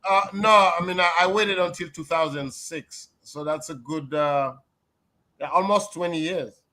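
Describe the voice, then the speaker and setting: An older man from Haiti, a guest on a video call, speaking in a measured, reflective way with many fillers. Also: a little high, pushed and bright, low-quality recording. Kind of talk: casual interview talk.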